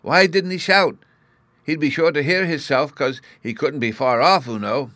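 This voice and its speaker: A man's voice: a little high, normal speed, a bit bright, dry recording, pitched above the performer's own voice, natural voice. A man voicing an earnest, plaintive little boy in a storybook, easily upset and speaking in childish, babyish grammar.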